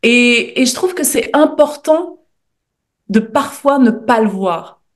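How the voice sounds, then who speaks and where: High-voiced French woman in casual interview. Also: animated, takes it slowly. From France. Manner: informal, reflective, often checking with "tu vois". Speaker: podcast guest, journalist and author.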